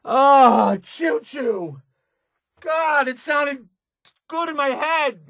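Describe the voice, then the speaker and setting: A timid, self-doubting young radio DJ for a video game. His pitch swings widely as he stammers and hesitates his way through apologetic patter. The recording is close and very dry. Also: expressive, extremely slow, vocal fry, a bit bright, no room sound, heavily acted. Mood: flustered. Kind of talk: post-apocalyptic video game voice acting.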